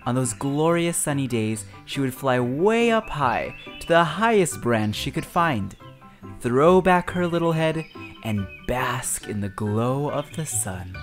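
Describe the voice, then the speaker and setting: A narrator reading a children's storybook aloud in an expressive, dramatic and deliberate storytelling style. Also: mid-range voice. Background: music.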